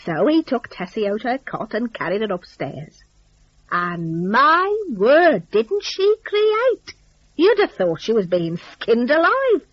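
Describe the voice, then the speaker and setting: A woman's voice: on the high side, breathy edge, no echo, highs cut off. A woman narrating a dramatic story in a chatty, gossipy, folksy dialect.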